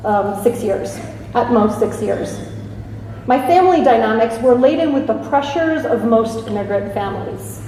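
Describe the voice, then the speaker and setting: A woman from New York and Italy, a consultant giving a prepared talk in an earnest, emphatic, deliberate way. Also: fairly high pitch, a little mellow. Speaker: speaker, evaluation consultant. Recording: distant echoey hall with faint noise.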